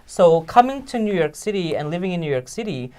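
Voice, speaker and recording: A man's voice: sits high, very animated, fairly quick, a little mellow. A man who is a guest in an interview. He speaks earnestly and reflectively, with many fillers and tag questions. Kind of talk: casual interview chat.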